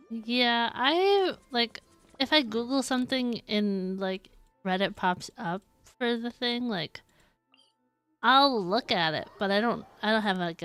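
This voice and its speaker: A woman chatting casually on a live game stream in an offhand, halting manner. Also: mid-range voice, fairly bright tone, clean tone. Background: faint game music.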